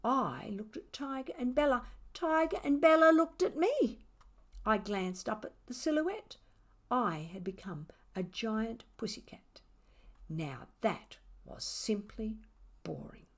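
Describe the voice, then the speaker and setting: A woman voicing a mischievous, sarcastic, self-satisfied male cat who tells his story theatrically, with dramatic pauses. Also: a little high, expressive, exaggerated voice. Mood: sly, playful.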